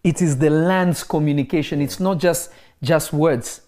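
A man who grew up in Kenya, preaching in a relaxed interview and driving his points home by repeating key phrases. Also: a little high, normal speed. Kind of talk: conversational preaching.

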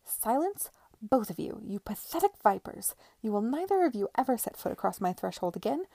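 A woman's voice: mid-range voice, animated, a little fast, gravelly, warm tone, no echo. A woman voicing a haughty, cutting old aristocratic aunt in a period audiobook. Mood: furious. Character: imperious, venomous old aristocratic aunt with canny wit.

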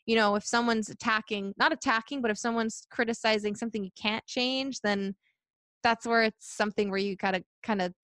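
A young woman who works as a reporter, answering interview questions in a chatty, energetic way and laughing often. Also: a little fast, no echo, studio quality. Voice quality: high-pitched voice. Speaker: sports reporter.

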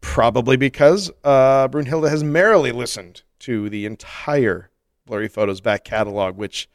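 A man's voice: mid-range voice, normal speed, no room sound, studio quality. A man co-hosting a comedy podcast, reading listener mail aloud in a casual, joking way and laughing often. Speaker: podcast co-host.

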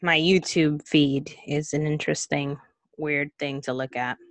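Young woman gabbing on a podcast, really low voice, dry close sound, lots of ums and laughs. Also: steady tone, measured pace, no room sound. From Cuba. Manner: chatty, laughs often, trails off with ums. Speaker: podcast co-host. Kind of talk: casual podcast chat.

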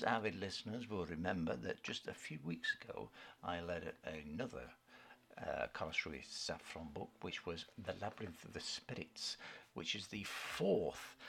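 A man's voice: fairly low pitch, fairly bright tone, breathy edge. A middle-aged British man co-hosting a casual radio show, rambling and bantering as he chats about what he likes. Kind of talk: casual chat about films and books. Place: home studio in a book-lined study.

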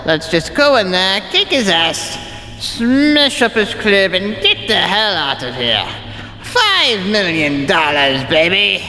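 A woman's voice: mid-range voice, very animated, takes it slowly, sharp tone, a little hiss or hum, heavily acted. A woman playing a cocky, slangy superhero given to mock-heroic outbursts in a parody audio drama. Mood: casual, cocky. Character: cocky, slangy laser-eyed superhero Rick. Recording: echoey hall reverb.

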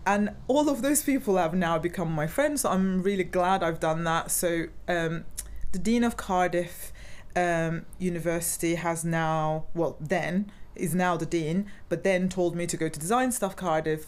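Low-voiced chatty woman from Turkey. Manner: chatty, rambling, laughs often. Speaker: guest, graphic designer. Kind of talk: casual interview chat.

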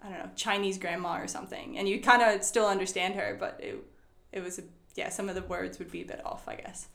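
A woman's voice: mid-range voice, fairly even intonation, quick pace, vocal fry, a bit bright, roomy sound. A young woman from Sweden who grew up partly in the States, speaking English with an American accent. Her native language is Swedish, and she chats in a rambling way with many fillers and restarts. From Sweden and the United States. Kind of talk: casual chat.

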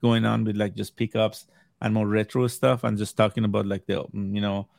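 Gravelly-voiced man, Farsi native, chatting on a video call. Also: on the low side, little pitch movement, brisk, a little mellow, dry recording, clean studio sound. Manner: hesitant, many fillers and restarts. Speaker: podcast guest, game collector. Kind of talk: casual chat.

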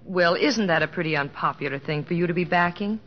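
An actress playing a devoted, sensible middle-aged American wife in an old radio drama, tender, earnest and gently chiding. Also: female voice, on the low side, fairly quick, a little hiss or hum, lightly acted. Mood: gently probing. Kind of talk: 1950s radio drama acting. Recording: muffled old radio recording with music.

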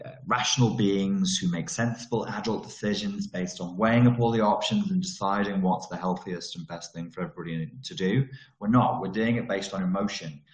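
A middle-aged man giving a blunt, slangy, joking talk as a self-development coach. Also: fairly low pitch. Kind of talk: casual monologue lesson. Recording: echoey room.

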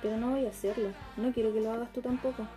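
A troubled, plain-spoken Chilean girl, played by a young woman in a radio drama. Her voice sits fairly high, and music plays clearly behind her. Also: steady tone, fast, close to an everyday voice, poor audio. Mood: subdued, frank. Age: young adult. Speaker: student performer. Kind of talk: radio theatre acting.

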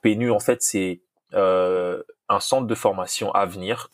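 Low-voiced Congolese coach, dry sound. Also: male voice, fairly even intonation, unhurried, no room sound. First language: Lingala. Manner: earnest, repeats himself, many restarts and fillers. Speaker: football coach, guest. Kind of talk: casual podcast interview.

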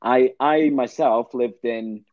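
A man's voice: mid-range voice, dry recording. Young man with a dry, muffled voice. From England. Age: young adult. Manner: relaxed, halting, repeats words, dry jokes. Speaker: guest actor. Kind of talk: casual interview chat.

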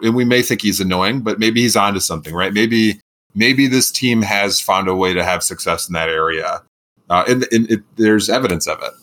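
A man who is a middle school teacher with a booming voice, co-hosting a casual sports podcast in an animated way. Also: moderate pace. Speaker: podcast co-host.

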